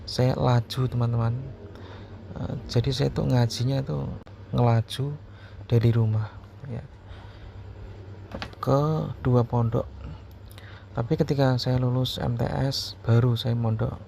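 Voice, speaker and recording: A man's voice: mid-range voice, fairly even intonation, takes it slowly, vocal fry, pauses often, mellow. A man with a Central Javanese accent chats casually to his viewers, explaining things and often repeating himself to check they follow. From Purwodadi Grobogan, Central Java, Indonesia. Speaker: YouTuber answering viewers' questions. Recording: muffled, lo-fi, faint background noise.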